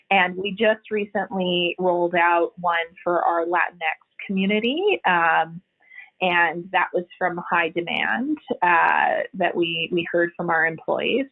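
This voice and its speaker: A woman HR executive answering interview questions in an earnest, explanatory way, often pausing to find her words. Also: fairly low pitch. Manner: earnest, explanatory, frequent hesitations. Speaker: HR executive, podcast guest. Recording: phone-like narrow line.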